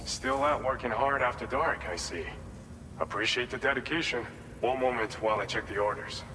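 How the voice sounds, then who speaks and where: An actor playing a courteous, appreciative male cargo depot worker in a video game, speaking in a low-key way. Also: moderate pace, noisy background, close to an everyday voice. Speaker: distribution centre clerk. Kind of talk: video game cutscene dialogue.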